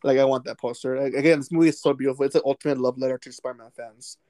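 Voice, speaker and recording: A man co-hosting a podcast, talking excitedly about a film in a rambling way, with many restarts and fillers. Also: mid-range voice, very fast, no echo, studio quality. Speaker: podcast co-host. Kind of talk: casual movie discussion.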